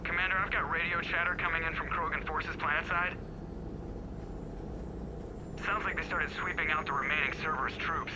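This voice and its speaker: A man's voice: on the high side, fairly even intonation, fast. A man playing a chatty, wry starship pilot in a game, talking casually over a radio comm. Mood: wry, concerned. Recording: radio link with vehicle noise.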